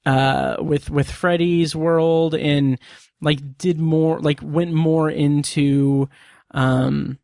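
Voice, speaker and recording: Man, somewhat high voice, hoarse, halting, dry recording. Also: steady tone, a little mellow, no echo. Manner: halting, searching for words. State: voice getting hoarse. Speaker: podcast co-host. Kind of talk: casual chat.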